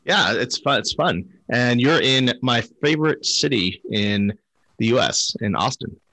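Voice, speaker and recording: A man's voice: mid-range voice, animated, a little slow, bright, forceful tone, no echo. A man hosting a podcast interview, upbeat and friendly in casual talk. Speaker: podcast host.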